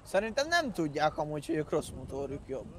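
High-pitched man bantering in a game. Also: very animated, background noise. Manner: teasing, profane banter. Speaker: online game player. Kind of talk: casual in-game roleplay chat.

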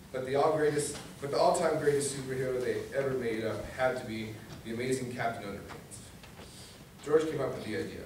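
A young man reading a children's book aloud to an audience in a meeting room, picked up from a distance. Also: mid-range voice. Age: young adult. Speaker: guest reader, student government vice president. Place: medium indoor meeting room at a library. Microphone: distant camera facing a podium. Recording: echoey room from far off, noisy.

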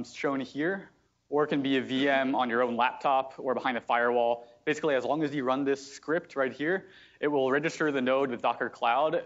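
A man's voice: medium pitch, a bit bright. Man giving a lo-fi live tech demo. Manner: explanatory, frequent fillers. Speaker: software engineer giving a product demo. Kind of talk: live technical demo talk. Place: meetup room at a company office.

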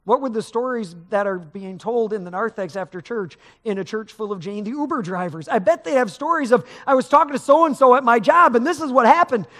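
A man's voice: very high-pitched, a little fast, hardly any pauses, a bit bright. A middle-aged man, a pastor, preaching a sermon on stage in a large church sanctuary, in a conversational manner that rises with emphasis. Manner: conversational preaching, rising with emphasis, gesturing while pacing the stage.

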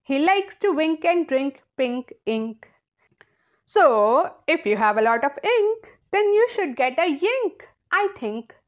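A woman's voice: sits high, big pitch swings, takes it slowly, dull audio. A woman reading a children's picture book aloud in a playful, emphatic, sing-song way.

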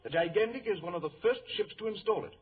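A salty, blustering ship's captain in an old radio play. His fairly high voice swings emphatically through the lines, over a thin, band-limited recording with faint noise. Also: animated, quick pace, phone-quality sound. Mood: proud, firm. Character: gruff, blustering, worried ship's captain, man. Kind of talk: old-time radio drama acting.

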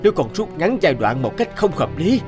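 A man playing a worried, gentle old farmer father giving advice in a cartoon drama. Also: a little high, big pitch swings, fairly quick, music in the background. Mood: concerned, advising. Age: older.

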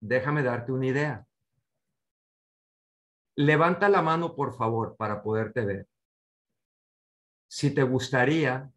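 An older man giving an online finance seminar as a coach, speaking didactically with short instructions and rhetorical questions over a video call. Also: medium pitch. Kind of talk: online seminar teaching with slides. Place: indoor room at home, on a video call.

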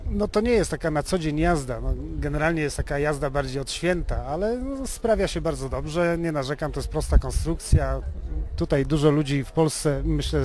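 Man, Polish accent, fairly high voice, informal interviewee, wordy explanations, repeats and rephrases. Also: darkish tone. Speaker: interviewee, classic car owner and hobby restorer.

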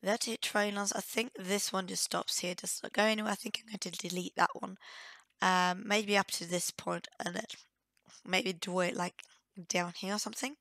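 A woman with a croaky, cold-hoarse voice, giving casual, hesitant let's play commentary as she thinks aloud. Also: medium pitch, steady tone, moderate pace, bright, forceful tone, dry recording. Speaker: gaming video host.

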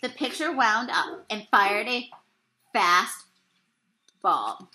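Young woman, bright forceful voice, very lively intonation, expressive picture-book read-aloud. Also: mid-range voice, slow pace, a little hiss or hum, poor audio. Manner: animated storyteller with exclaimed dialogue. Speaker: teacher reading aloud. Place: small indoor room.

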